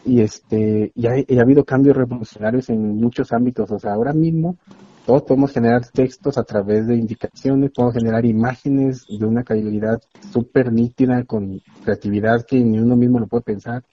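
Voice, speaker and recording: Mellow husky man explaining. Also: medium pitch, fairly even intonation, a little fast, dry recording. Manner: earnest, explains at length. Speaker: university expert guest. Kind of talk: explanatory interview talk. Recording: dull lo-fi dry sound.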